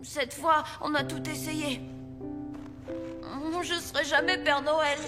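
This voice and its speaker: A woman's voice: high-pitched, little pitch movement, slow pace. A woman voicing an earnest young boy, an eager orphan apprentice in a children's cartoon. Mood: dejected. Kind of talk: French animated cartoon dubbing. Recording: loud music under the voice.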